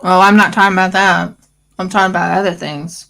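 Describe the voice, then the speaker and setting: A woman's voice: lowish voice, steady tone, brisk, gravelly, fairly bright tone. Scratchy-voiced Australian woman chatting. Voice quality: scratchy morning voice. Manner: rambling, fillers, restarts. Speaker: craft channel host. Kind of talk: casual livestream chat.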